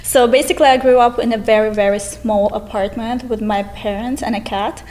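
A woman's voice: on the high side, steady tone, brisk, a little mellow, poor audio. A woman in her twenties with a Russian accent, a guest on a podcast, talking cheerfully and laughing often. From Eastern Europe. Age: 20s. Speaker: guest, real estate agent. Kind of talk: casual interview chat.

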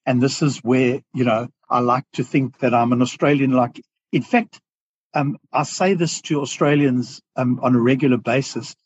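A man's voice: medium pitch, fairly even intonation, moderate pace, lo-fi sound, slight background noise. A man with a South African accent, a business owner speaking as a podcast guest, earnest and reflective, often restarting his sentences. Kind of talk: podcast interview.

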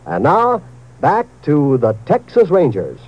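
Animated male vintage radio promo announcer. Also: on the high side, slow pace, very noisy. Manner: upbeat, polished salesmanship. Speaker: network announcer. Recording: muffled vintage radio recording.

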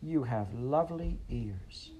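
A man reading aloud, voicing a young princess in a high, pleading, willful voice. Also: animated, slow pace, warm tone, a little hiss or hum. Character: young princess, spoiled but tender.

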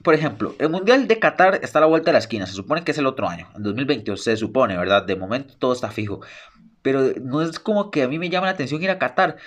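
A man's voice: mid-range voice, lively intonation, quick pace. Eager young man. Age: young adult. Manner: eager and emphatic, repeats phrases for stress. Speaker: podcast host. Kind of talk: solo sports podcast monologue.

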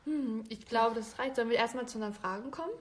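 A woman's voice: a little high, fast talker, a little hiss or hum. A young woman co-hosting a podcast in casual chat, supportive and asking follow-up questions. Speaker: podcast co-host.

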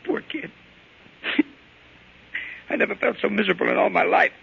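A man's voice: high-pitched, moderate pace, creaky, husky, exaggerated voice. An actor playing a nervous, hard-drinking gangster henchman in a 1940s radio crime drama, with slangy, whining tough-guy talk. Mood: wretched, drunken. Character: jittery, hard-drinking, conscience-stricken male gangster henchman. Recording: muffled old radio recording.

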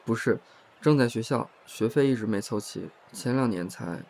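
An actor playing a reserved, polite young man who speaks softly and hesitantly in an audio drama. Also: mid-range voice, moderate pace, gravelly. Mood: subdued, reserved. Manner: hesitant, courteous, restrained. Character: soft-spoken, reserved, polite young man seeking work. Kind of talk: Chinese audio drama acting.

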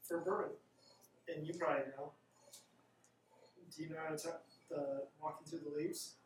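A man at a podcast table explaining things in a hesitant, halting way. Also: fairly high pitch, fairly even intonation. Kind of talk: casual chat over bourbon tasting. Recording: roomy, off-mic with faint room noise.

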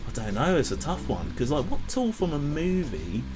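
A man's voice: on the high side, wildly swooping pitch, moderate pace, over music. A man hosting a podcast, joking around in casual chat. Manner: playful, jokey, laughs often. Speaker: podcast host.

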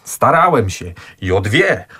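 A young actor playing a hopelessly indecisive young gentleman who hesitates and stammers in a radio play. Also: fairly low pitch, big pitch swings, measured pace, slightly rough, heavily acted. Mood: hesitant. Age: young adult. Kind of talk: radio drama acting.

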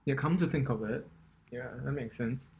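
A man's voice: medium pitch, moderate pace, darkish tone. A young man from Taiwan co-hosting a podcast. He rambles in casual chat with frequent fillers and restarts. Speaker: podcast co-host.

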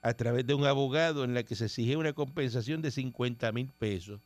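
A man's voice: mid-range voice, fast. A Puerto Rican man hosting a call-in radio show, bantering in a teasing, sarcastic way. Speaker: radio host. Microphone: studio mic.

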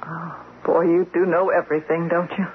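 A woman's voice: lowish voice, little pitch movement, moderate pace, lightly acted. Radio play role: actress as earnest clinic doctor, a troubled woman, hesitant and conversational, on a muffled, hissy recording. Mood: uneasy, curious. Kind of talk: radio drama acting. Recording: dull, noisy old radio recording.

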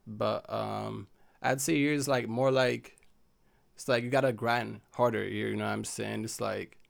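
A man's voice: fairly low pitch, steady tone, unhurried, vocal fry. A young man from Haiti, a guest chatting casually in a laid-back, slangy, rambling way full of fillers. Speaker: podcast guest. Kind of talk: casual chat.

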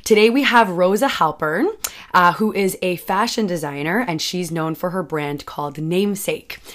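A young woman hosting a podcast, asking questions in an eager, exclamatory casual style. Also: fairly low pitch. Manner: eager, exclaiming, quick reactions. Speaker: podcast host. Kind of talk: casual interview chat.

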